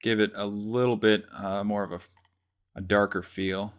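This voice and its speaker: Low-voiced man giving a casual tutorial. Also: flat delivery, normal speed, smooth. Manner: informal, explaining step by step. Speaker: tutorial presenter.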